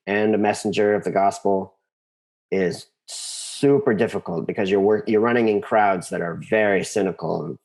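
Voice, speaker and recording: Low-pitched American man, halting and thoughtful. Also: a little mellow. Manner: halting, thinks aloud, repeats phrases for emphasis. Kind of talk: casual interview conversation.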